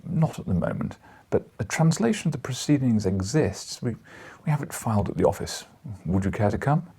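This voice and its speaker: A man voicing a gruff, sceptical bacteriologist in an audiobook, dry and blunt in manner. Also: mid-range voice, animated, brisk, dark tone. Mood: matter-of-fact. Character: gruff, sceptical male bacteriologist friend at a newspaper. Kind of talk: audiobook character dialogue.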